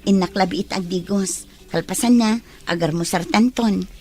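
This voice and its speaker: A woman's voice: medium pitch, moderate pace, a little mellow, faint music behind, natural voice. A woman playing a hospitable, easygoing young friend in an Ilocano audio drama. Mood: hospitable, casual.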